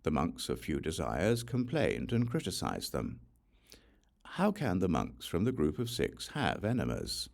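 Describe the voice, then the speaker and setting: A man reading a religious text as scripted audiobook narration in a measured, deliberate way. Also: low voice, huge pitch swings, vocal fry. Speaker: audiobook reader.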